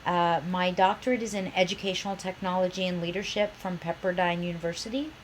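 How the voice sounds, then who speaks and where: A woman's voice: on the low side, fairly even intonation, a little fast, slight background noise, low-quality recording. A woman professor with a cold and a slightly nasal voice, giving a chatty, enthusiastic course introduction. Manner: chatty, enthusiastic, self-described geek.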